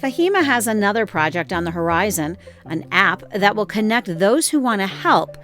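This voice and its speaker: A female news reporter with an American accent delivers scripted narration in a medium-pitched voice. Faint music plays behind her. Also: big pitch swings, moderate pace, fairly bright tone.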